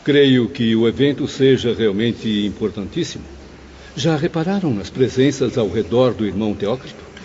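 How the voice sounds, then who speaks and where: A man's voice: mid-range voice, expressive, normal speed, darkish tone, close to an everyday voice. A man playing a thoughtful, inquisitive professor in a formal audio drama. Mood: curious. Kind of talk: dramatised audio-book dialogue. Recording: dull, long reverb, faint ambient noise.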